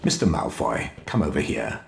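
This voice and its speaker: An actor playing a cold, menacing professor with a disdainful drawl. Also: male voice, a bass voice, sing-song, moderate pace, vocal fry, full character voice. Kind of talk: video game voice acting. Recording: echoey, faint noise.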